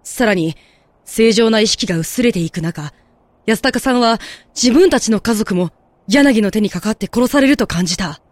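Audio drama performance of a composed, gently guiding character played by an actor. The voice sits at a middle pitch and unfolds explanations in a measured way. The sound is close and dry. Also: animated, dry recording, natural voice. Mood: grave, explanatory. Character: composed explainer who gently guides a distressed girl. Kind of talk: Japanese audio drama acting.

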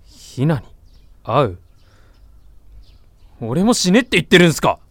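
A man's voice: a little high, big pitch swings, measured pace. A man playing a passionate, grief-stricken young student actor in an audio drama. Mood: dejected. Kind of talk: fan audio drama acting.

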